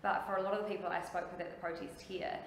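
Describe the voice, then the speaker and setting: A woman's voice: fairly low pitch, flat delivery, very fast. A woman with a New Zealand accent interviewing a guest in a casual, conversational way. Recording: very echoey room, background noise.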